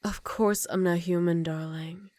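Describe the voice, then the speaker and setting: Woman acting as a older vampire countess for asmr, lowish voice, very dry close sound, slow teasing predatory, half whispery. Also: fairly even intonation, darkish tone, no echo, close to an everyday voice. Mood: seductive, coaxing. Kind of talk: ASMR roleplay.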